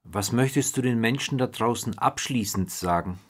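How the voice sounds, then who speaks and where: A man's voice: medium pitch, moderate pace. A male interviewer with a mid-range voice delivers scripted lines. He introduces and questions a guest in a prepared, read style.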